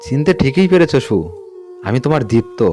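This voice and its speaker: A man's voice: mid-range voice, sing-song, normal speed, a little mellow, lightly acted. A man voicing an undead husband in a horror story, clinging, reproachful and menacing. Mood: eerie.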